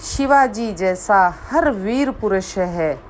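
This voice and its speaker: A woman reciting a poem she wrote herself, in a measured voice that repeats lines for emphasis, after a short spoken introduction. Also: mid-range voice, lively intonation, a little hiss or hum. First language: Hindi. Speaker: poet. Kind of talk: slow recited poetry.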